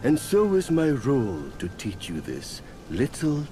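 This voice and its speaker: A man voicing a self-assured, boastful mystical mentor in a video game, speaking in a measured and grandiose manner. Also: mid-range voice, wildly swooping pitch, very noisy. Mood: proud, instructive. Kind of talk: video game cutscene acting.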